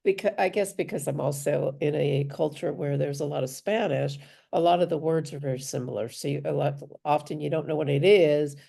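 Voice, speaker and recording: Deep gravelly American woman host. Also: fairly quick, dark tone. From United States. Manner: curious, asks leading questions. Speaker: podcast host. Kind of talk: casual interview.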